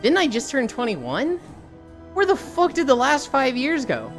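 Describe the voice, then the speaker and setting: A woman's voice: high voice, huge pitch swings, measured pace, soft background music. A woman streaming casually, rambling through stories, swearing and laughing easily. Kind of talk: casual chat while streaming.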